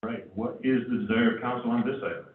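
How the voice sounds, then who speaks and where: A man chairing a city council meeting as mayor, reading motions and calling votes in a procedural way. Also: mid-range voice. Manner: procedural, brisk. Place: council chamber. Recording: tinny, echoey chamber from far off.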